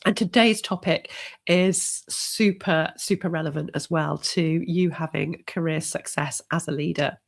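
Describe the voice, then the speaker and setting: Woman coach on a live stream, has a cold, lowish gravelly voice, easy encouraging talk. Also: fairly even intonation, measured pace.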